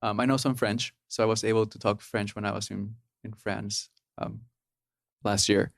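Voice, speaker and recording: A man's voice: on the low side, flat delivery, moderate pace, creaky, no room sound, clean studio sound. A man in his thirties from Peru, a podcast guest talking casually and hesitantly with many ums and restarts. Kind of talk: casual interview.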